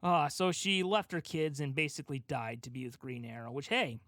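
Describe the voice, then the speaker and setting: A man with a slightly high voice speaks as a podcast co-host. He is informal and opinionated, in easy pop-culture chat. The sound is dry, with very little room. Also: steady tone, moderate pace, a bit bright, dry recording. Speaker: podcast co-host asking questions.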